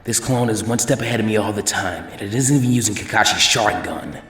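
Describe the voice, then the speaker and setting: A man's voice: lowish voice, fast talker, a bit bright, reverberant, big-room sound, soft background music, lightly acted. A man doing a growling, proud young ninja. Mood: frustrated. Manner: growling, terse. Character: proud young male ninja, frustrated and angry. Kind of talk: fan fiction reading.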